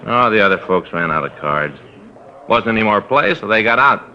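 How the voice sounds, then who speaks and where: An actor playing a put-upon police detective who tells a rambling, aggrieved story, in 1950s radio drama style. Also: male voice, on the low side, lively intonation, moderate pace. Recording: dull old radio broadcast.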